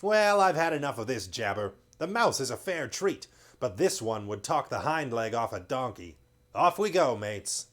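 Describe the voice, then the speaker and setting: A man's voice: medium pitch, very animated, moderate pace, a bit bright. A man voicing a wheedling, coarse male slave dealer in a read-aloud story. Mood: gruff. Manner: wheedling, cockney-ish slang.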